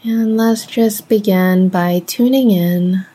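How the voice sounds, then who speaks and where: A soft-spoken woman leading a guided meditation in gentle, measured phrases with long pauses. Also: mid-range voice, breathy edge.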